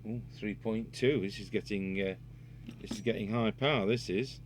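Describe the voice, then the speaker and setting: A man with a fairly low voice talks through a hands-on repair job. There is some background noise and hum behind him. He speaks off the cuff, thinking aloud as he works. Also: moderate pace. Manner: unscripted, musing aloud while working. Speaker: radio repair hobbyist and video presenter. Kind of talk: casual hands-on repair demonstration.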